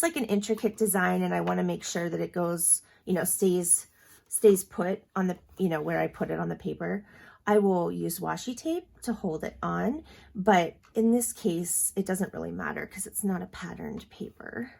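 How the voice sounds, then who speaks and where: A woman's voice: medium pitch, gravelly, darkish tone, slight background noise. A woman hosting a crafting tutorial at home, chatting casually with lots of ums and asides, a little sniffly from allergies. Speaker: crafting channel host.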